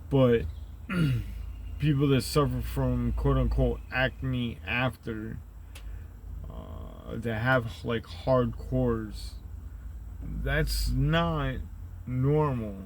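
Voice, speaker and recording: A man with a deep, rumbly voice who rambles through a profane, conspiratorial monologue and keeps tagging his points with "right?". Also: a little mellow, slight background noise, poor audio. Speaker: channel host.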